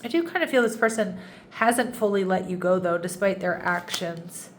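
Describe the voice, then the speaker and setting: A woman's voice: on the low side, moderate pace. A woman talking through a tarot reading in an easy, chatty way, her voice a little nasal from congestion. She often restarts and loops back mid-sentence. A faint hum of ambience sits behind her. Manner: chatty, warm asides, frequent restarts. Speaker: tarot reader.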